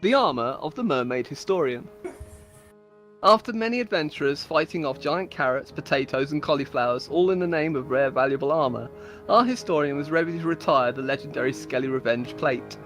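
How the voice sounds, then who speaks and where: A woman's voice: low voice, animated, a little fast, faint music behind. A woman giving a playful, mock-solemn dramatic reading of her own comic stories, like a storyteller. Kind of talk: comedic dramatic reading of scripted tales.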